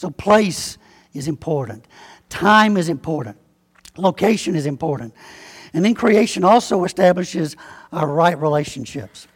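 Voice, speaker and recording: A Southern-accented pastor preaches in a relaxed, folksy way, slowing to lean on key words. His man's voice sits high with a husky edge. It rings out with a long reverb, as if in a large church. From Alabama, US. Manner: folksy, conversational preaching with slow emphatic spelling-out. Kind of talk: sermon.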